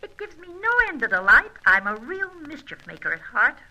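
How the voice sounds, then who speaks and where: A brash, bright flirt. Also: female voice, on the high side, sing-song, brisk, highs cut off, full character voice. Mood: sly, playful. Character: brash, flirtatious, mischievous American female adventuress. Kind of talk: old-time radio serial acting.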